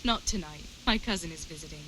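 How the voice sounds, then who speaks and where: An actress playing a fond, teasing medieval village sweetheart in a video game, with a playful manner. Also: female voice, deep voice, fast, noisy background, close to an everyday voice. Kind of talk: acted game dialogue.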